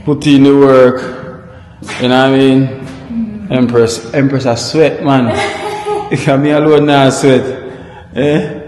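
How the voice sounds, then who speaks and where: A man's voice: medium pitch, darkish tone. A man chatting casually to camera as a vlogger, laid-back and easygoing, mixing patois with plain English. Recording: roomy hall echo with music.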